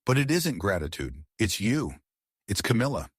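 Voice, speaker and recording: A man voicing a gentle, composed housekeeper woman, speaking softly and quietly. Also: lowish voice, very animated, moderate pace, husky, no room sound, clean studio sound. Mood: tender.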